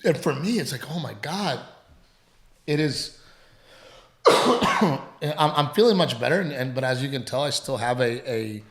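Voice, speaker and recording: A man co-hosting a casual podcast at home, talking in halting, rambling runs, with a lingering dry cough. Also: medium pitch, a bit bright, reverberant, slight background noise. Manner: rambling, halting, full of restarts. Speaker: podcast co-host, comedian. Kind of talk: casual chat.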